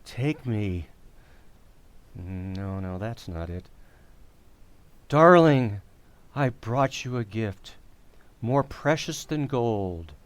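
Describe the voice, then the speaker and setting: A man's voice: on the low side, animated, extremely slow, long pauses, a little hiss or hum. A man playing a bumbling, sheepish husband in an old-time radio comedy, hesitant and stammering, theatrically earnest. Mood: grandly romantic.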